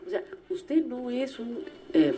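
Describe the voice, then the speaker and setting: A woman with a Peruvian accent hosting a radio interview, talking in an animated, emphatic and teasing way. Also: on the high side, slow, slightly rough. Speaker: radio show host.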